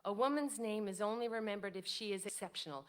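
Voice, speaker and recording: An actress playing a modest, wistful, devoted widow in a staged reading of a period drama, with genteel, articulate phrasing. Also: female voice, mid-range voice, fast talker, natural voice. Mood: self-deprecating. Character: elderly devoted widow writer, modest, wistful, self-doubting.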